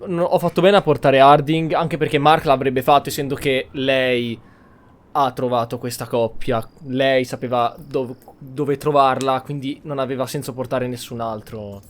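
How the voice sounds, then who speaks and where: A man's voice: medium pitch, steady tone, normal speed. A man with an Italian accent giving casual roleplay commentary as a gaming streamer.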